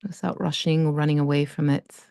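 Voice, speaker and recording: A woman with a low, mellow voice leads a breathing meditation. She talks slowly and softly and leaves long pauses between phrases. The sound is close and dry. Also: steady tone, vocal fry, dry recording. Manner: slow, soft and gentle, with long pauses. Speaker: meditation guide.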